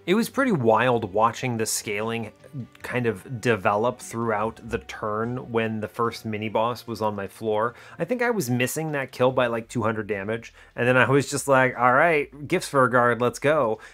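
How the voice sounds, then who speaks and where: A man's voice: mid-range voice, animated, moderate pace, fairly bright tone, faint music behind. A man giving casual gameplay commentary, chatty and informal, thinking aloud as he explains his strategy. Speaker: host of a gaming video series.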